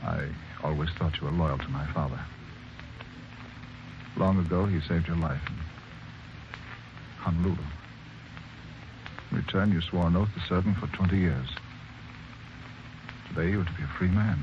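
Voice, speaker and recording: A sincere young man with a very deep voice, speaking earnestly. The sound is an old, muffled radio recording with heavy hiss. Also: moderate pace, long pauses. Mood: somber. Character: young man, son of a murdered father. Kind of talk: 1940s radio acting.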